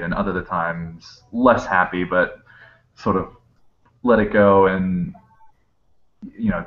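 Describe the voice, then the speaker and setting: Male guest actor, deep voice, relaxed conversational reminiscing, muffled video-call audio. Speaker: voice actor, guest in cast chat. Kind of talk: casual chat, reminiscing. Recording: muffled, noisy internet call.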